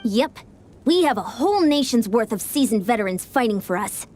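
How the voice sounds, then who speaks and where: A fairly high-pitched young female warrior as a woman acts her in a video game. She is earnest and practical, giving her reports in a direct manner. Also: animated, moderate pace, darkish tone, a little hiss or hum, lightly acted. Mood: concerned. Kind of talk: video game dialogue acting.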